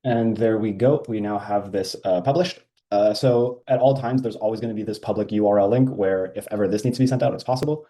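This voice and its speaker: A man walking through a software feature in a casual screen-share demo, with frequent ums and uhs. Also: on the low side, a little fast, hardly any pauses.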